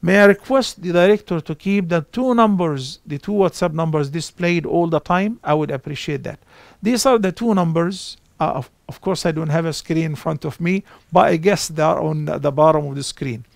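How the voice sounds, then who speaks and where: A middle-aged man calmly answering callers' questions on a live call-in show, speaking outdoors in a garden on a lapel mic. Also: on the high side, normal speed, creaky, no echo. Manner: calm, explanatory, gestures while talking.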